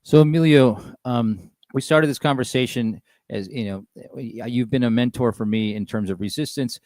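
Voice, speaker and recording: A man hosting a podcast interview who speaks haltingly, searching for his words. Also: medium pitch, a little mellow, dry recording.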